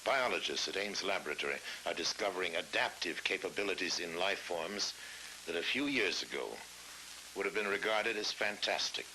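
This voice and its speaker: A middle-aged man narrating a scripted science documentary in a measured, dramatic way, with weighty pauses. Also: low-pitched, lively intonation, bright, forceful tone, low-quality recording. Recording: hissy old film soundtrack.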